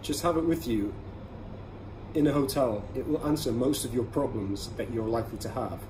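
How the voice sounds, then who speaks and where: A man hosting a casual product review, explaining things conversationally in short, measured phrases. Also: mid-range voice. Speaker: podcast host reviewing everyday carry products. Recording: clearly audible ambient background noise.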